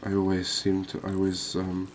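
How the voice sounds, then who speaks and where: A man giving calm, unhurried, soft-spoken ASMR gameplay commentary, musing aloud as he plays. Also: deep voice, a little hiss or hum. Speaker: gaming video host.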